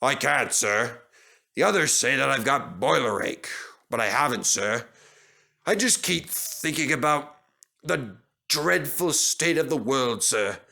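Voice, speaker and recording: A proud, mournful male steam engine from a storybook, acted out by a man. He grumbles respectfully and is easily stirred, with very lively swings in pitch and an unhurried pace. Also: gravelly, heavily acted. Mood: gloomy, anxious.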